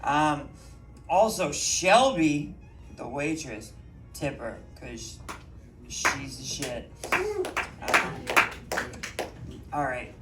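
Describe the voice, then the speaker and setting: A man's voice: on the high side, sing-song, extremely slow, pauses often, over loud music. A man with a Boston accent doing a rambling, self-mocking stand-up set on a comedy club stage, with plenty of ums. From Boston, USA. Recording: roomy, mic at a distance.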